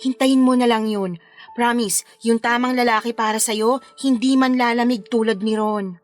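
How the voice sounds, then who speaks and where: Woman playing a high-voiced, caring wedding planner. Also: fairly even intonation, moderate pace, soft background music, lightly acted. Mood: earnest advising. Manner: soothing, earnest, advising. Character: caring female wedding planner, concerned confidante. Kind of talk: Filipino radio drama acting.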